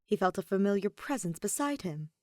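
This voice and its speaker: A woman reading fan fiction as scripted dramatic narration, soft, measured and expressive. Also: mid-range voice, dry recording, studio quality. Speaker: fan fiction audiobook reader.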